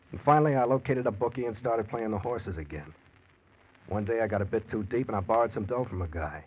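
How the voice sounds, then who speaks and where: An American actor playing a forty-year-old gambling pulp writer in an old radio crime drama, slangy, weary and hard-boiled. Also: male voice, on the low side, slightly rough. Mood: bitter, weary. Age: 40s. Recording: muffled, noisy old radio recording.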